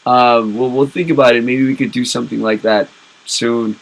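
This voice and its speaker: A man's voice: medium pitch, steady tone, normal speed, creaky, rough recording. Crude, joking man chatting casually. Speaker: podcast co-host. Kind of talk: casual live chat.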